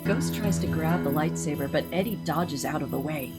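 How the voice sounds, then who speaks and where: A woman's voice: deep voice, normal speed. A woman reading scripted narration for a radio play. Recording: music behind the voice.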